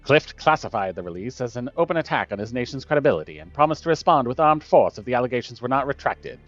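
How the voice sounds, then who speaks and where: Man acting as rapid formal newsreader. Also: mid-range voice, big pitch swings, a bit bright, no room sound. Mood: brisk reporting. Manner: formal, fluent. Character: brisk, formal male newsreader reporting international crisis. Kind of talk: scripted news bulletin in a story game. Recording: dull lo-fi audio with music behind.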